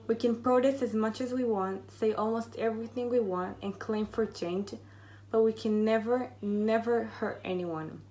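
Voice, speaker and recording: A teenage female high school student giving a prepared reflection to a camera in a room at home. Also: steady tone, moderate pace, mellow. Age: teens. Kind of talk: prepared personal reflection speech. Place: indoors in a bright home room.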